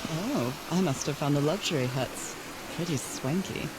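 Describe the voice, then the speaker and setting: A woman's voice: low voice, normal speed, heavy background noise, natural voice. An actress voicing a curious woman explorer who muses thoughtfully to herself in a game. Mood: musing. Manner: thoughtful, musing asides. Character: curious woman explorer searching for her husband. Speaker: game protagonist. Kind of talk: scripted game voice acting.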